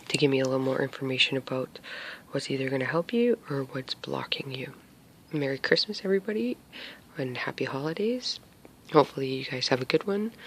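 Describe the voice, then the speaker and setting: A man's voice: medium pitch, fairly bright tone, slight background noise. A man giving a casual tarot reading to his viewers. He talks hesitantly with many fillers and often drops to a whisper. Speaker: tarot reader.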